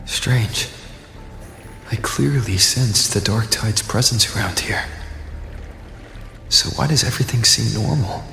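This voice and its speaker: An actor playing a wary, puzzled observer in a fantasy video game, intent and questioning. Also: male voice, lowish voice, takes it slowly, gravelly, pauses often. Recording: echoey, long reverb, music behind.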